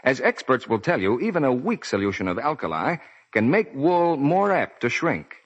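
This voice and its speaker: A man's voice: mid-range voice, sing-song, moderate pace. An American man announcing on 1940s radio, giving polished, explanatory sponsor messages. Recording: dull 1940s radio broadcast.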